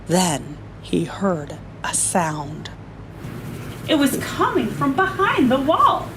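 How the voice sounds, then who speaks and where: High, animated woman reading a storybook slowly. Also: noisy background. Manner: playful, often chuckling as she reads. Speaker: story-time reader. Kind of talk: animated children's picture-book read-aloud.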